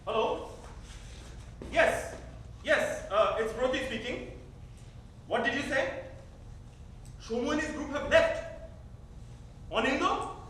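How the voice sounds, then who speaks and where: An actor playing a restless, evasive young revolutionary son in a staged reading. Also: male voice, very high-pitched, takes it slowly, pauses often, bright, forceful tone, far from the mic, full character voice. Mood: evasive, agitated. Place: theater stage. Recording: echoey theater recorded from afar, faint noise.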